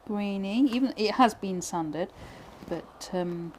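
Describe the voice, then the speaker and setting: A woman from Britain, teaching pyrography live, chatting and thinking aloud with many fillers while she works, her voice going a little hoarse. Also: mid-range voice, slow, darkish tone, slight background noise. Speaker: pyrography instructor. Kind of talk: live tutorial chat while working.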